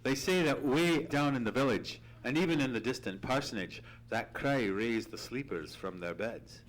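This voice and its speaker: A man's voice: mid-range voice, lively intonation, moderate pace, natural voice, poor audio. Man acting Doctor Watson, earnest, suspenseful radio narrator. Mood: grave, horrified. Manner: theatrical, suspenseful, formal storytelling. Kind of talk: old-time radio dramatic storytelling. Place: theatre stage, live radio play.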